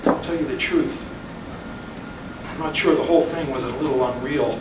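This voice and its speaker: Actor as tired husband in his fifties, halting, defensive, stage drama, distant noisy recording. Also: a little high, reverberant, lightly acted. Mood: weary doubt. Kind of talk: staged play reading. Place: roomy hall, staged reading at a college.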